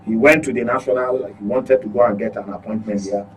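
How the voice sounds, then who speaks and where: A man's voice: mid-range voice, fairly even intonation, a little fast, slight background noise, rough recording. A Nigerian man speaking as a guest commentator in a political discussion, emphatic and repeating phrases for stress.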